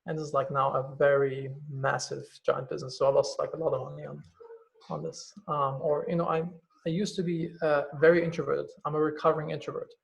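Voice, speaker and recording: A quick, gravelly-voiced man with a German accent chats candidly on a podcast. His voice sits a little high for a man, and he talks in a loose, filler-heavy way, dropping the odd swear word. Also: level tone, rough recording. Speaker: guest, company CEO. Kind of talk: casual podcast conversation.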